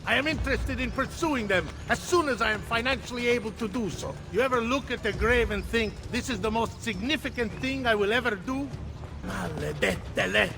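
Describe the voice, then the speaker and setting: An actor playing a talkative, debt-ridden senator in a video game, with an Italian accent and a theatrical, rambling, wisecracking delivery. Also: male voice, extremely high pitch, brisk, gravelly. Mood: fretful, wry.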